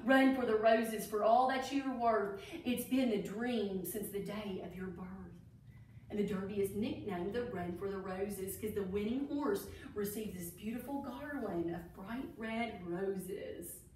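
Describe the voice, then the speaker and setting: A woman's voice: medium pitch, measured pace, darkish tone, a little hiss or hum. A woman reading a picture book aloud to children, with enthusiastic asides to her young listeners. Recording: echoey room, distant microphone.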